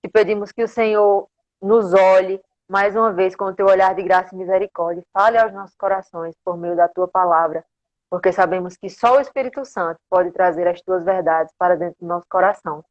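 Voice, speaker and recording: A woman's voice: lowish voice, fairly even intonation, fairly quick, highs cut off. Woman preacher with a strong Paraíba accent. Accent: strong rural Paraíba Northeastern Brazilian. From interior of Paraíba, Brazil. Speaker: guest preacher. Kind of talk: devotional preaching on a livestream. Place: remote social media livestream.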